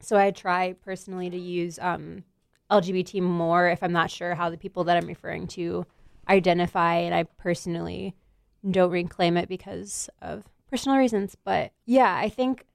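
Low, clear-voiced hesitant woman. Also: fairly even intonation, moderate pace, no echo, studio quality. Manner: hesitant, frequent ums. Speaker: former youth camp counselor. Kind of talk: casual discussion.

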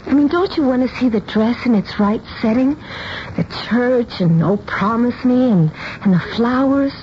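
A woman plays a considerate young bride in a 1970s radio drama. She speaks gently in a high voice. The old broadcast is dull and faintly noisy. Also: lively intonation, normal speed, close to an everyday voice. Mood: pleased, hopeful. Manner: gentle, soothing.

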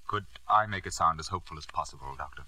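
An actor playing an earnest, discreet family doctor and friend in a 1950s radio drama, reassuring yet hesitant on delicate matters. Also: male voice, very deep voice, quick pace, sharp tone, exaggerated voice.

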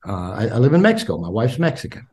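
An older man in his sixties speaks as a podcast guest, with a lively, swooping pitch. He often repeats his first words before a sentence gets going. His talk is casual and warmly animated. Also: quick pace. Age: 60s. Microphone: call.

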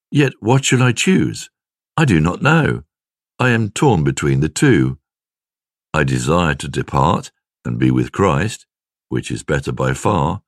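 A man reading a scripted devotional in a measured, clearly phrased way. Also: deep voice, no room sound, clean studio sound. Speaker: devotional reader.

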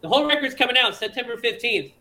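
Bright-voiced, high-pitched male musician. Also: animated, quick pace. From Florida. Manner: laid-back, playful, promoting his music. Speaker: guest musician. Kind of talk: casual podcast chat.

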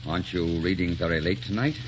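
An actor playing a tormented, adoring poet husband in an impassioned, melodramatic 1940s radio romance. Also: male voice, low-pitched, a little fast, background noise, close to an everyday voice. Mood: adoring, haunted. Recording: muffled 1940s radio broadcast, music behind.

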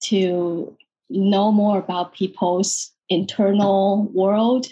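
A woman from Taiwan, a psychologist talking about her career in a relaxed interview, hesitant with many restarts and quick laughs. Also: mid-range voice, lo-fi sound. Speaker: guest psychologist. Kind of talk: casual interview chat.

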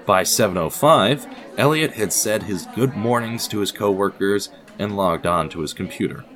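A man narrating a scripted audio drama in a measured, theatrical storytelling manner with deliberate pauses. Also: lowish voice, lively intonation, a bit bright.

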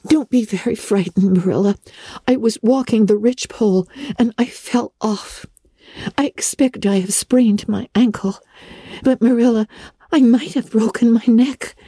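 A woman's voice: a little high, unhurried, mellow, slightly rough, no room sound, voice pushed up. A woman voicing a dramatic, imaginative young girl who talks in a solemn, theatrical way. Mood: brave.

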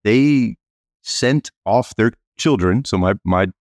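Low-voiced easygoing male guest. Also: slow, no echo, studio quality. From United States. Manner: easygoing, fillers like "you know". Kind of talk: casual interview talk.

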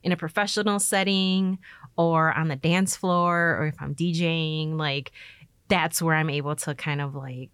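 Female, deep-voiced artist in a casual interview, informal storyteller, close dry sound. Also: steady tone, moderate pace, fairly bright tone, clean tone, no echo.

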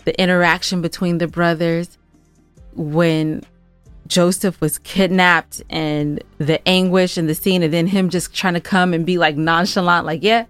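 A woman's voice: on the low side, fairly even intonation, a little slow, clean tone, no room sound, soft background music. A woman co-hosting a casual recap podcast, gushing and repeating herself for emphasis. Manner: effusive, gushing, emphatic repetition. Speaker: podcast co-host and scriptwriter.

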